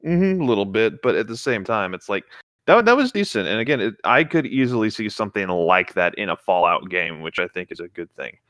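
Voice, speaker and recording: Male co-host, relaxed reaction chat, low register, no room sound. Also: fairly quick, no echo, studio quality. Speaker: co-host of a creepypasta reading show.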